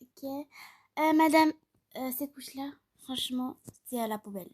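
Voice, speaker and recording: Girlish woman playing a high-voiced polite pediatrician. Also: measured pace. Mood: concerned. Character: polite female pediatrician, reassuring and a little fussy. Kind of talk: improvised toy role-play story. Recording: loud music behind the voice.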